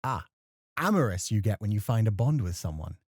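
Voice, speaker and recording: A man acting as a refined, scholarly club host in a flirty ASMR roleplay, speaking formally with playful, gentle chiding. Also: lowish voice, sing-song, a little fast, dry recording, studio quality. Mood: teasing.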